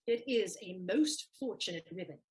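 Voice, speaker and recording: A woman playing a quick-witted, teasing young lady's maid in a theatrical period comedy, arch and wary with a sharp tongue. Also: medium pitch, lively intonation, natural voice. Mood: teasing, scolding.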